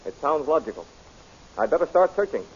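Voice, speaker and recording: An actor playing a loyal, self-reproaching count with a courteous, formal manner in an old-time radio serial. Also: male voice, fairly high pitch, sing-song, fairly quick, darkish tone, a little hiss or hum. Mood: earnest, resolute. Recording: muffled, dull old radio recording.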